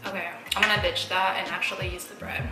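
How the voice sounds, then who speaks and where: A woman's voice: lowish voice, little pitch movement, quick pace, roomy sound, loud music behind the voice. A young woman talking casually to her viewers while eating, chatty, laughing often and using plenty of fillers. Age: young adult. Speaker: mukbang vlogger.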